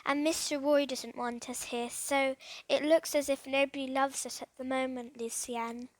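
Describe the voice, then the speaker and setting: A woman's voice: high voice, little pitch movement, normal speed, no echo, lightly acted. A woman voicing a downcast, doubtful schoolboy in a children's storybook, glum and resigned.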